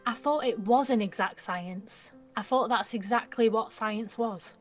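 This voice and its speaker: A young woman acting an excitable, mouthy and insecure nineteen-year-old girl in an audio drama, with slangy, blurting speech. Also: a little high, a little slow, soft background music, lightly acted. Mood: anxious.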